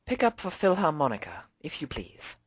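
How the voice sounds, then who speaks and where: A woman's voice: low voice, huge pitch swings, normal speed, husky, no room sound, exaggerated voice. A woman voicing a refined, prim female pony cellist with polite, formal phrasing, in a fan fiction story reading. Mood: polite, composed.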